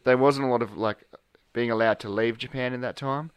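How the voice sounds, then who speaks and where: A young man hosts a relaxed podcast chat. His voice is smooth and clear, and he rambles with lots of fillers and restarts. The sound is dry and close. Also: medium pitch, fairly quick, dry recording. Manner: rambling, hesitant, many fillers. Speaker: podcast host. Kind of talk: casual chat.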